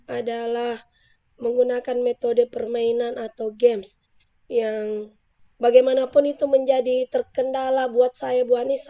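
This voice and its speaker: Woman teacher, Central Sulawesi Indonesian accent, hoarse from a cold, earnest, flat delivery, mellow tone. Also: a little high. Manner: polite, earnest, explains at length. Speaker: teacher being coached. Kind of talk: role-play coaching conversation.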